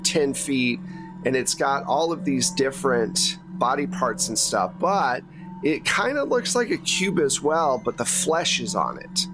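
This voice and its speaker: A man working as game master, describing scenes for a tabletop horror game in an improvised, conversational way. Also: medium pitch. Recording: music playing behind the voice. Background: music.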